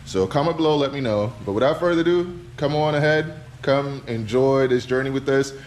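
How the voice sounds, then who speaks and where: A man from Trinidad, a car vlogger, chatting casually and directly to his viewers in a relaxed travel vlog. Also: medium pitch, brisk, slight background noise. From Trinidad, Caribbean. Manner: relaxed, chatty, talks straight to the camera.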